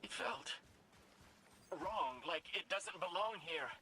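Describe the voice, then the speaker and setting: Small nervous robot helper, high creaky voice, very animated, halting, fretful, video game dialogue. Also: male voice, a little hiss or hum, full character voice. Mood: distressed. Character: small anxious robot companion, devoted, fretful and eager.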